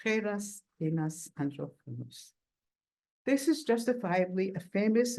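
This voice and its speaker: A woman giving an academic lecture at a workshop, reading quoted texts aloud in a measured, deliberate way and slowing down to stress key words. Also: mid-range voice, darkish tone, low-quality recording.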